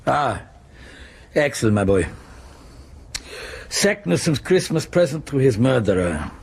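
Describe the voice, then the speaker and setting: An actor playing an irascible, pompous older German professor in a radio drama, blustering and scornful with a German accent. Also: male voice, medium pitch, sing-song, slow, creaky, a little mellow, breathy edge, exaggerated voice. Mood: absorbed, gruff. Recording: faint background noise, 1990s radio broadcast.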